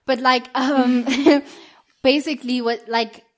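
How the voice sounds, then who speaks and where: A woman's voice: high voice, fairly even intonation, slow pace. Chatty young woman. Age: young adult. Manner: chatty, laughs often, many fillers. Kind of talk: casual chat.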